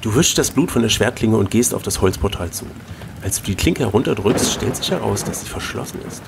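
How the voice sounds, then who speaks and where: A man reading an adventure gamebook aloud as host, switching between expressive narration and casual chat. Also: lowish voice, brisk, creaky, background noise.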